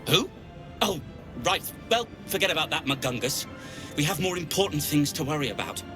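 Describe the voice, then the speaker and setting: An actor playing a fussy, indignant gnome in a video game, self-righteous and grandly wordy. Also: male voice, a little high, expressive, moderate pace, lightly acted. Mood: brisk, urgent. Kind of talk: video game character dialogue. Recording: music behind the voice.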